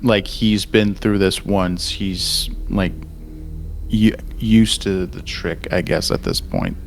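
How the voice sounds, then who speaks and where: Low-pitched man chatting at a game table. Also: takes it slowly, creaky, soft background music. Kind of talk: casual game-table chat.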